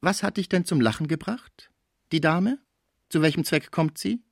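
Man voicing a cheeky, high-pitched young female dancer. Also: normal speed, gravelly, dry recording, clean studio sound. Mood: curious, theatrical. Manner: teasing, declamatory.

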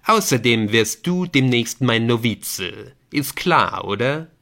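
A man voicing a frank young male gamer among friends, animated and colloquial, in audiobook dialogue. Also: mid-range voice, slow pace, vocal fry, exaggerated voice. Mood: emphatic.

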